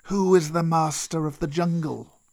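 A man voicing a wise, grudging old giant python in a children's storybook, with drawn-out, deliberate delivery. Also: on the high side, steady tone, gravelly, a little mellow, voice pushed up. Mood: calm, knowing.

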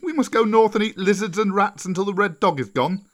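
Fearless young male storybook hero, wolf-raised and fervent, acted by a man; raised pitch. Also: a little fast, fairly bright tone. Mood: rousing, defiant. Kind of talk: children's storybook character voice.

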